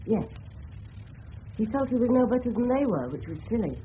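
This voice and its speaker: A dull old radio drama recording with faint hiss carries an actor as an English teenage boy. He is polite and earnest, speaking respectfully in a middling-pitched youthful voice. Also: sing-song, normal speed, close to an everyday voice. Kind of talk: 1970s British radio drama acting.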